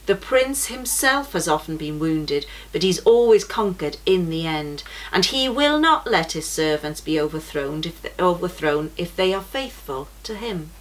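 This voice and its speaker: A woman voicing a brave young male guide in a children's storybook, speaking calmly and steadily. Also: on the low side, unhurried, few pauses, low-quality recording. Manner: calm and steady.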